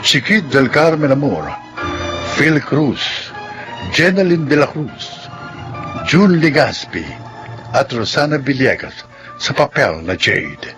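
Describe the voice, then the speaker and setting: Slow, swooping male radio drama announcer. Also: medium pitch, big pitch swings, low-quality recording. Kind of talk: scripted dramatic narration in classic radio style. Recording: music bed under the voice.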